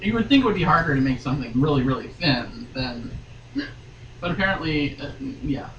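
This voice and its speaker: A woman's voice: deep voice, expressive, a little fast, a bit bright, lo-fi sound. A woman doing a dramatic reading with playful, joking asides, recorded in a room at some distance from the mic. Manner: theatrical, joking. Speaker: guest reader. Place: sewing room, indoors. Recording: echoey room, distant mic, clear noise.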